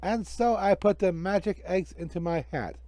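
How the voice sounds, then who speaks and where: A man's voice: sits high, moderate pace, warm tone, no echo, pitched above the performer's own voice, lightly acted. A man voicing a vain, greedy, grouchy stage magician in a story, with a theatrical, scolding bluster. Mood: pompous, frustrated.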